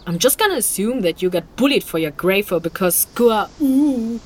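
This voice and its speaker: A woman's voice: medium pitch, expressive, moderate pace, slight background noise, natural voice. A woman playing a chatty young human in a fantasy audio drama, flippant and sarcastic, full of dramatic little asides as the peacemaker.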